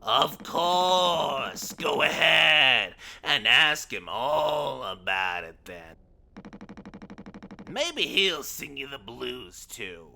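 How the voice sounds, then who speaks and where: Man acting gruff space freighter crewman, forceful and biting, slow swinging delivery, sarcastic, game dub. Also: medium pitch, very animated, heavily acted. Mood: bitter sarcasm. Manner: sardonic, blunt, scornful.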